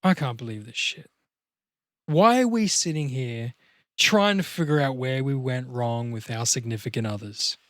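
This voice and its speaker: A man's voice: mid-range voice, expressive, moderate pace, a bit bright, no echo, studio quality, close to an everyday voice. A man playing a devastated, freshly dumped young slacker with a downcast, wisecracking manner in a table read of a film comedy. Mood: upset, incredulous.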